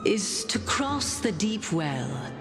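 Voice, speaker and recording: An actress playing an elegant, self-assured, scheming noblewoman in a video game, with a measured, formal and teasing delivery over music. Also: medium pitch, very animated. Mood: coy, composed. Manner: measured, formal, teasing, breaks into hushed phrase endings. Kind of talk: game character voice acting. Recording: game stream with music underneath.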